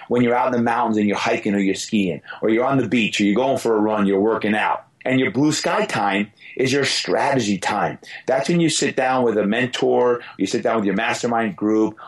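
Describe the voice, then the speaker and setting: Hoarse male guest, raspy, lower pitch, emphatic motivational delivery. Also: poor audio. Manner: emphatic, motivational, repeats key phrases. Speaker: podcast guest, fitness business coach. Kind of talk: podcast interview answers.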